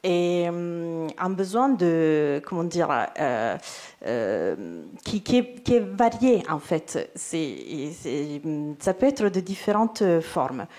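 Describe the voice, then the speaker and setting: A woman's voice: fairly low pitch, sing-song, slow pace, dark tone. A woman in her thirties with an Italian accent, a native Italian speaker answering on a round-table panel, hesitant, with many fillers and restarts. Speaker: literary agent for illustrators.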